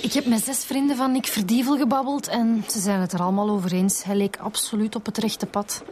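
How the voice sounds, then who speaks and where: A woman's voice: mid-range voice, steady tone, a little fast, darkish tone, faint music behind, natural voice. An actress playing an earnest female Flemish police detective in a naturalistic radio drama.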